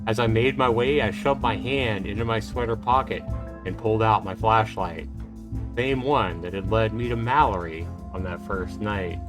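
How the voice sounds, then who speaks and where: A man's voice: lowish voice, normal speed. Man dramatically narrating over music. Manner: expressive, suspenseful storytelling. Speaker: livestream host. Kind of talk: dramatic first-person reading of a visual novel. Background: game music.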